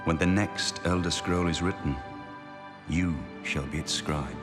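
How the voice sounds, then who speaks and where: An actor playing a noble, resigned emperor in a fantasy game, giving a grave and measured farewell narration. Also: male voice, sits low, steady tone. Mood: solemn, resolute. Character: noble emperor, solemn, resigned, speaking a farewell after sacrifice. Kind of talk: slow dramatic game narration. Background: orchestral music.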